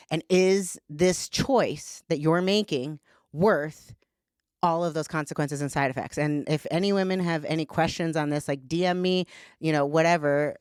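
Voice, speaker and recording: A woman's voice: low-pitched, clean tone, no room sound, studio quality. A woman guest on a podcast, speaking frankly and casually, swearing freely and filling her talk with "like". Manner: blunt, profane, talks with lots of "like". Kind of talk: candid casual conversation.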